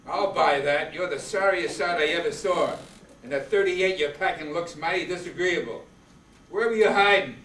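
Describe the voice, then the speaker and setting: A man's voice: a little high, normal speed, a bit bright. A man playing a sardonic, wisecracking hard-boiled detective in an amateur old-time radio play. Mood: taunting. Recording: echoey, distant, noisy room recording.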